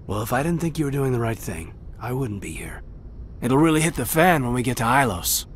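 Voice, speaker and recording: Actor playing a soft-spoken earnest male soldier. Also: mid-range voice, big pitch swings, brisk, slight background noise, lightly acted. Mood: reflective. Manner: soft-spoken and earnest, often dropping toward a whisper. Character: earnest young male soldier, loyal and thoughtful. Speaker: soldier serving under the commander. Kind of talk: video game voice acting. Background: game music.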